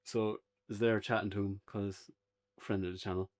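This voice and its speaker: A man's voice: lowish voice, level tone, fairly quick. An Irish man chatting casually and unscripted as a podcast co-host reviewing a show. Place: indoors on a couch, podcast setup.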